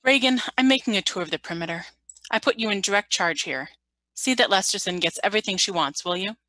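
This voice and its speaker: An impatient colony governor in a radio drama, performed by a woman. Her voice is forceful and dry, giving brisk orders with firm authority. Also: mid-range voice, no room sound, lightly acted. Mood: brisk, ordering. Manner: brisk, commanding. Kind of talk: radio play reading.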